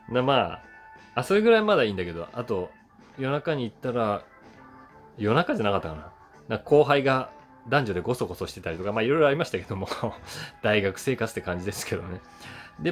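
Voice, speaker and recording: A man in his forties talks casually to camera as if sharing old memories. His voice is on the low side and swings widely in pitch, with an animated, conversational delivery over faint guitar music. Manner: animated, conversational, acts out sounds with onomatopoeia. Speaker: voice actor and YouTuber telling memories. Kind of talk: casual monologue to camera, reminiscing. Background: soft guitar music.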